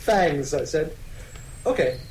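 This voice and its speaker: A man's voice: a little high, lively intonation, slow pace, a little mellow, a little hiss or hum, poor audio. A man co-hosting a podcast, reading fan fiction dramatically and bantering casually, often amused as he goes. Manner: often breaking into laughter while reading. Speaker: podcast co-host.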